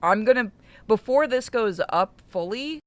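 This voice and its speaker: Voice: medium pitch, expressive, measured pace, dry recording. A streamer co-hosting a playthrough, chatting casually, swearing freely and reading game narration aloud, with game music behind. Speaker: streamer co-host reading a visual novel aloud.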